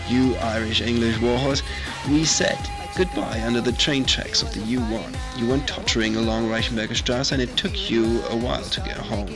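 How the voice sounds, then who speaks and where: A man playfully telling a fond anecdote as a farewell message to a friend. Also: mid-range voice.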